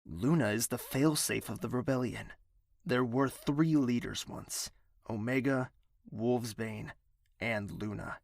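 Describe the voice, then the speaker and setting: A man's voice: medium pitch, vocal fry, no echo, clean studio sound. Man playing an imperious young villain prince. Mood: indignant, cold. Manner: formal, commanding, deliberate. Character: bitter young male prince, self-declared villain, imperious and menacing. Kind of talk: audio drama acting.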